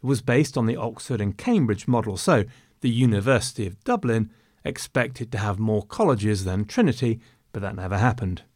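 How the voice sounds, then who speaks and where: A man talks through a stretch of history in a relaxed, conversational way, dropping in wry asides as he goes. His voice sits at a middle pitch. The recording is close and dry, with no room sound. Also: no echo. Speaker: history podcast narrator. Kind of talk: informal history narration.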